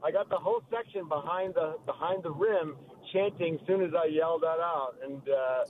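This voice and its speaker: A man calling in to a radio sports show, a loud and boastful fan. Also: a little high, moderate pace. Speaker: caller. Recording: tinny phone line with faint noise.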